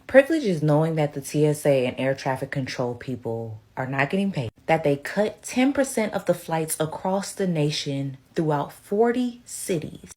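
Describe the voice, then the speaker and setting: A woman with a distinctly low voice delivers a social media rant. She is sarcastic and indignant, leaning hard on her points. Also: sing-song, normal speed, hardly any pauses, darkish tone.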